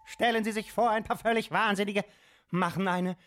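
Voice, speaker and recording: A man playing a crazed, theatrical radio announcer addressing listeners like an excited showman in an absurd comic radio play. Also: high-pitched, moderate pace. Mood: manic showman.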